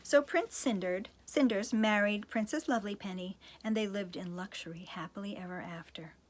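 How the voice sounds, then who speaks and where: A woman reading a silly picture book aloud to children, playful and chuckling. She stops for little asides to her young listeners. Her voice sits in a middle range, with a faint steady hiss underneath. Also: mid-range voice, moderate pace. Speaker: storytime reader, teacher.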